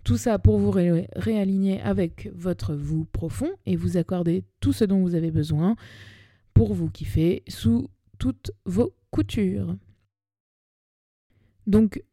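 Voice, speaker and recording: Female podcast host, 30, Parisian accent. Lowish, very mellow voice; chatty, colloquial, self-deprecating solo delivery. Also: no echo, clean studio sound. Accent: Parisian French. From Paris, France. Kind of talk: personal monologue podcast, semi-scripted narration. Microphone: close podcast microphone.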